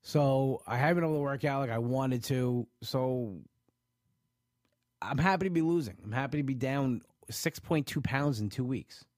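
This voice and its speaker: A man's voice: mid-range voice, normal speed, a little mellow, dry recording, studio quality. A man with a stuffed-up nose chatting casually to viewers about his weight loss, rambling with many restarts. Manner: rambling, frequent restarts and "you know". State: stuffed up from allergies. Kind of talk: casual livestream chat reading viewer comments.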